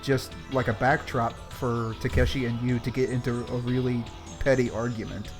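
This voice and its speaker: A man with a mid-pitched voice retells a story casually, as a co-host would. His delivery is wry and colloquial, and music plays clearly behind him. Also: level tone, moderate pace. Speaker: podcast co-host.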